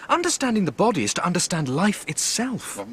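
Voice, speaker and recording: An actor playing an earnest, polite and inquisitive young gentleman in a radio drama. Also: sits high, big pitch swings, a little fast, a crowd in the background. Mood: eager.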